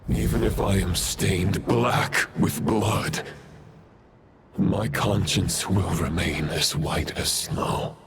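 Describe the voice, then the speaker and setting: An actor playing a grim male warrior sworn to the Abyss, gravelly and solemn, in a game. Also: low-pitched, heavy background noise, heavily acted. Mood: defiant. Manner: slow, solemn and defiant. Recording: loud game ambience behind.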